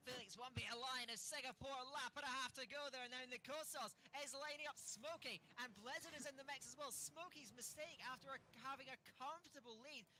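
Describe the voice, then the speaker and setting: Very high, sharp-toned male race caller. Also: a little hiss or hum. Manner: fast analytical play-by-play. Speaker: sim racing co-commentator. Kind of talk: live esports race commentary.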